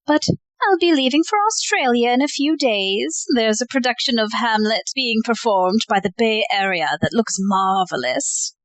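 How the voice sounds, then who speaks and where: A woman's voice: on the high side, animated, normal speed, dry recording, close to an everyday voice. A woman playing a genteel, coy and self-possessed lady in a comedic audio play. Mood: flirtatious.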